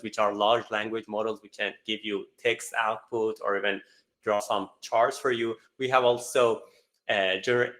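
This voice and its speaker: Panelist guy, really bright voice, explains stuff with everyday examples, lots of "you know". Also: mid-range voice. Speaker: panelist, digital marketer.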